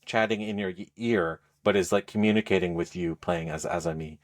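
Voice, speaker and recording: Low-voiced man chatting about his hobby. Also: fairly even intonation, fairly quick. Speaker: podcast co-host. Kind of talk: casual game review chat.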